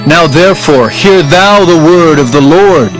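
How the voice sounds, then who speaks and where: Earnest herdsman prophet played by a man, fairly high voice, solemn declamation over music in scripture drama. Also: measured pace, close to an everyday voice, low-quality recording. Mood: solemn warning. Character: Amos, earnest humble herdsman prophet proclaiming warnings.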